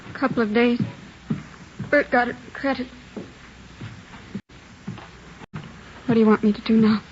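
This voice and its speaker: An actress playing a killer's weary, devoted wife in a vintage radio crime drama. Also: female voice, on the high side, steady tone, fast talker, natural voice. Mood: subdued, uneasy. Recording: muffled, noisy old radio broadcast.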